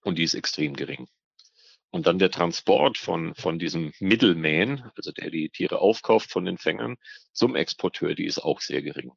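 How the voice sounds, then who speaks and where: A man's voice: sits low, expressive, moderate pace, vocal fry, slightly rough, no echo, dull audio. A man speaking as an expert guest in an interview, explaining hesitantly and often repeating words as he searches for the next. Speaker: expert guest, biologist on ornamental fish trade. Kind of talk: spoken interview answers, explanatory.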